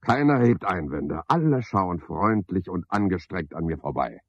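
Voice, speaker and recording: An actor playing an eccentric, sardonic man in a radio play, rambling to himself and to unseen callers in a husky voice. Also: low-pitched, animated, moderate pace, dry recording, dull audio. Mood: brooding, bitter. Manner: sardonic, brooding, musing aloud in fragments.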